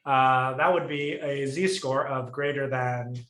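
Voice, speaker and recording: A man explaining code on a video call, his middle-pitched voice pausing and restarting as he works things out. The sound is roomy, with a clear echo around him. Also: steady tone, a bit bright, slight background noise. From Puerto Rico. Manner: thinks aloud, frequent restarts and fillers. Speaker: instructor. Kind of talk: live coding lesson review.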